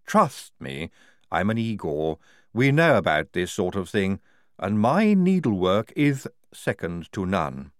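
A man voicing a calm young woman field surgeon with a slight lisp for an audiobook, slow, polite and matter-of-fact. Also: fairly low pitch, dry recording, studio quality. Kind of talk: audiobook character voice.

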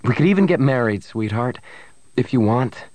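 A man's voice: lowish voice, measured pace, no echo. A man voicing a brash young rogue in an audiobook, fierce on the surface and tender underneath. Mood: earnest, tender.

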